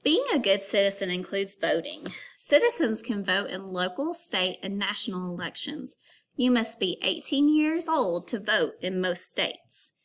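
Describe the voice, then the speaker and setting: A woman teacher reading aloud to young pupils and explaining as she goes, in an instructive, clearly phrased way. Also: mid-range voice, lively intonation, unhurried. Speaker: elementary school teacher. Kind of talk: read-aloud reading lesson for children.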